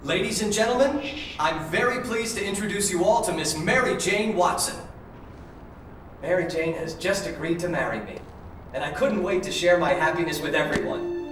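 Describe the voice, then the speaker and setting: An actor playing a proud, happy groom-to-be making a formal public announcement in a video game cutscene. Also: male voice, high voice, moderate pace, a bit bright, a little hiss or hum. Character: proud, happy young male groom-to-be addressing guests. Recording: echoey hall from far off.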